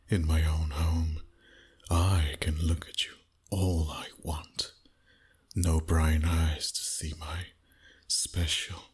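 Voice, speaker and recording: Very deep, breathy vampire seducer acted by a man. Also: full character voice. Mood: adoring, seductive. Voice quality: breathy, husky, often near a whisper. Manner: slow, teasing, flirtatious, dominant. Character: suave, dominant, flirty male vampire boss wooing his date. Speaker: voice actor. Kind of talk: intimate ASMR roleplay.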